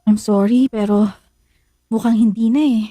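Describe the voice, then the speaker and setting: A woman's voice: on the high side, steady tone, normal speed, background noise, lightly acted. A woman playing a playful, coy young secret lover in a Filipino radio drama, with a teasing delivery. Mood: reluctant, apologetic. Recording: music behind voice.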